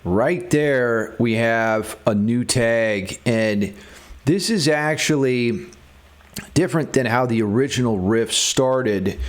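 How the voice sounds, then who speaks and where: A man's voice: mid-range voice, slow pace. A man giving a casual, informal music theory lesson as a guitar instructor, thinking aloud as he demonstrates. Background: electric guitar playing.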